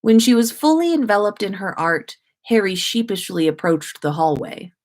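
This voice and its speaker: A woman's voice: medium pitch, very animated, normal speed, a little mellow. A woman reading fiction aloud as a storyteller, narrating for listeners. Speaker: host reading listeners' stories on a livestream.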